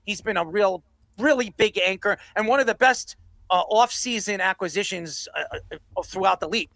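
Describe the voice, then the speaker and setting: A man's voice: high-pitched, moderate pace, creaky, pushed and bright, dry recording. A man hosting a sports debate show, blunt and combative, given to ranting and swearing.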